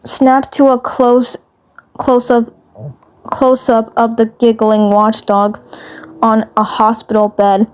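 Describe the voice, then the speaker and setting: A woman narrating a fan fiction story aloud in a halting way, often stumbling and rereading words. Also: a little high, little pitch movement, clean tone.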